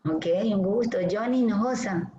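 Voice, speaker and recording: Brisk woman calling roll. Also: mid-range voice, dark tone. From Guayaquil, Ecuador. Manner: brisk, friendly, calling names. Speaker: dermatology lecturer. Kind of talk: online class roll call. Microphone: video call. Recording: echoey dull video-call audio with faint hiss.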